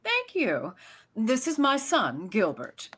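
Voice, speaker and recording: Fretful socialite mother, female actor, vintage radio mystery: gushing, animated, coaxing, melodramatic. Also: fairly high pitch, slow, husky, full character voice. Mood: gracious. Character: dramatic, fretful society mother, wheedling and self-pitying. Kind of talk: 1930s radio drama acting.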